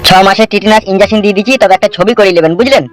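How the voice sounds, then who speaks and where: Man playing a brisk, nosy cartoon doctor. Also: high voice, faint music behind, close to an everyday voice. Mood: matter-of-fact. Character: matter-of-fact, nosy male doctor. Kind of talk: comedy cartoon dubbing.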